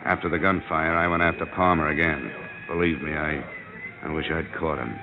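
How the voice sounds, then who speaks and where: An American actor playing a tough, cynical insurance investigator in a radio crime drama, with a clipped, blunt delivery. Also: male voice, rumbling low, steady tone, normal speed, noisy background. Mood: grim. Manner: clipped, hard-boiled, blunt. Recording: dull vintage radio recording.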